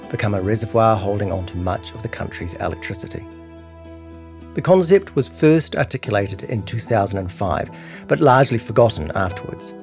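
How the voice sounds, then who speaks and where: Kiwi guy reading a long article, deepish, guitar music under it. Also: a little mellow. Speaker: narrator. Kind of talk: scripted longform article narration.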